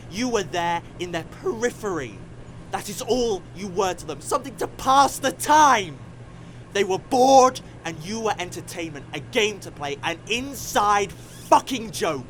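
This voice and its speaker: A man plays a cruel, embittered older scientist from a sci-fi audio drama. His slightly high voice swings widely in pitch, scornful and theatrical, over a clearly noisy background hum. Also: a bit bright, heavily acted. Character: bitter, cruel older time-travelling scientist confronting younger self.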